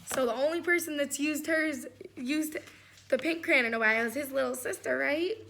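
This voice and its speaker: A woman's voice: high-pitched, normal speed, creaky, fairly bright tone. A woman reading a picture book aloud, chatting encouragingly and putting questions to young listeners. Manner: encouraging, often checks in with "right?".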